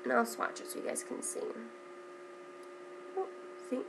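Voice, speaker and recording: A woman's voice: a little slow, fairly bright tone, a little hiss or hum, low-quality recording. A young woman with a stuffy, congested voice, chatting casually as a beauty vlogger, with lots of ums and repeated words. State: congested from a cold. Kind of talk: casual makeup tutorial chat.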